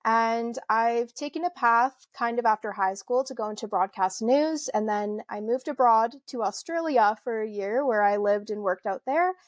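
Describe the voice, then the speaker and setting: A woman's voice: fairly high pitch, moderate pace, hardly any pauses, no echo. A woman in her twenties from Canada, talking as a podcast guest in a friendly, chatty way with many fillers and light laughs. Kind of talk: casual interview conversation.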